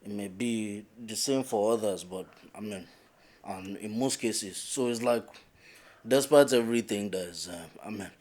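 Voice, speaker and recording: A Nigerian man co-hosting a casual podcast chat, speaking haltingly with many restarts. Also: lowish voice, a little mellow. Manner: hesitant, restarts often. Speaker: podcast co-host.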